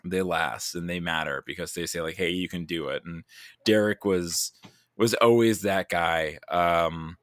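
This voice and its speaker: A man's voice: sits low, measured pace, fairly bright tone, dry recording, studio quality. A man talking casually as a guest on a podcast. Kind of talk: casual chat.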